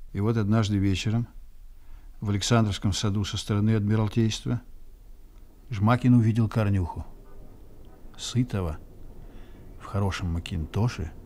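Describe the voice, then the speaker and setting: Mellow, low-pitched male radio narrator. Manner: measured literary storytelling. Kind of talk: scripted radio drama narration.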